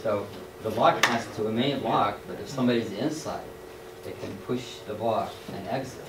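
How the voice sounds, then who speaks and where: Low-voiced man, distant in a room. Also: moderate pace. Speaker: workshop attendee. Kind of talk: questioning and debating from the audience. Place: indoor workshop room. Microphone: distant camera microphone. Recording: roomy, distant, audience noise around.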